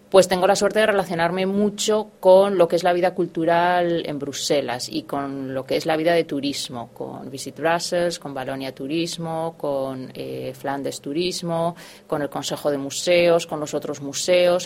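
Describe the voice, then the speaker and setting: Low-voiced Aragonese woman, chatty guest. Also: a little mellow, a little hiss or hum. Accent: Zaragoza Spanish. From Spain, Aragon. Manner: chatty, laughs easily, restarts phrases. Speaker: guest, Spanish official in Brussels. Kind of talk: relaxed radio interview answers.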